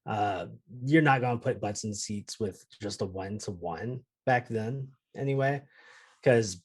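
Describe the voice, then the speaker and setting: A man's voice: on the low side, unhurried, slightly rough. A man co-hosting a casual chat in an easygoing, conversational way. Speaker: host.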